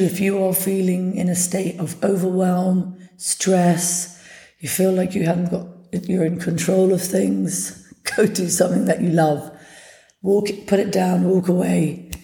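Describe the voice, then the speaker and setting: A woman hosting a solo podcast, hoarse from having partly lost her voice, chatting in an upbeat, motivational way. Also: fairly low pitch, dark tone. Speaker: podcast host. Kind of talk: casual solo podcast talk. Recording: echoey room.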